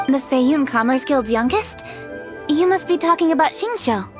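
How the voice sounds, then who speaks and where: A woman voicing a composed, businesslike young lady official in a game, formal and measured. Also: high-pitched, expressive. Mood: businesslike, polite. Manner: formal, measured, matter-of-fact. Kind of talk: game cutscene voice acting. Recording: music behind the voice.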